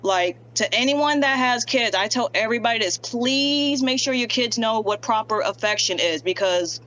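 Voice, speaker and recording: A woman's voice: fairly high pitch, normal speed, lo-fi sound. Young woman guest, early 20s, blunt plain talk, faint hum behind her. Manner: frank, plain-spoken, steady. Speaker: guest, streamer. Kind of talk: candid interview talk.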